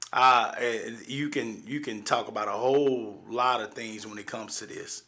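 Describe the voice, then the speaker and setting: A man in his forties with a Southern accent, hosting a live show and telling stories from his childhood in a halting, folksy way, with many fillers and restarts. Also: mid-range voice, bright, forceful tone. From East Texas, USA. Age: 40s. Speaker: livestream host. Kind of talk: personal storytelling on a live show.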